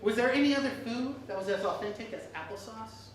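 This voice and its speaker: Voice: medium pitch, fast talker. A person telling scripted comic fairytales live, with theatrical delivery and frequent asides. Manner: theatrical, often breaking into laughter and asides. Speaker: storyteller performing comic fairytales. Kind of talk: live comedic storytelling, scripted narration. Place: live performance in a room with audience. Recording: echoey live room, distant mic, background noise.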